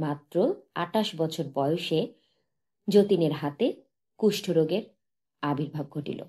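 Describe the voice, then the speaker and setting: A woman narrating a literary audiobook in a measured, expressive manner with dramatic pauses. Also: sits low.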